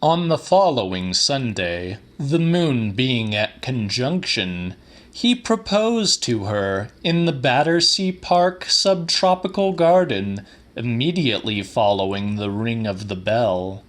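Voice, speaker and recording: Slow, animated male audiobook narrator. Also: medium pitch. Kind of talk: dramatic literary narration.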